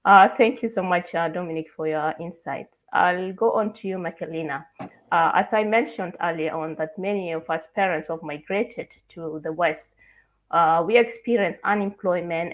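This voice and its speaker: A woman from South Sudan moderating a panel discussion, polite and measured, asking long questions. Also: lowish voice, poor audio.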